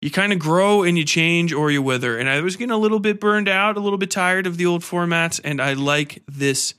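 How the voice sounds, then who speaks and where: A man's voice: sits high, brisk, sharp tone, smooth. A man hosting a podcast in a casual, informal, slangy way. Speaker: podcast host interviewing an author.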